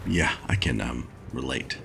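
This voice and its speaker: An actor playing a weary, dry-witted mechanical engineer who mutters wry, hesitant asides in a noir video game. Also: male voice, rumbling low, lively intonation, unhurried, creaky, background noise, full character voice. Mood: musing, subdued. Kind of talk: noir point-and-click game acting. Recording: music behind the voice.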